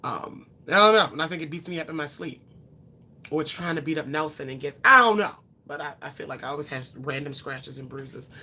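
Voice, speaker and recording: A young woman in her twenties chats with her viewers, answering their questions off the cuff. Her voice sits low yet sounds bright and forward. She rambles a little, filling gaps with ums and correcting herself as she goes. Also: brisk. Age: 20s. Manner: chatty, rambling, with frequent ums and self-corrections. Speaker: beauty vlogger.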